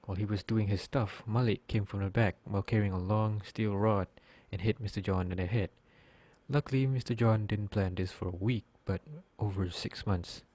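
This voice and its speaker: Low, mellow male narrator, reading haltingly. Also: fairly even intonation, dry recording. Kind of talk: scripted narration, read aloud.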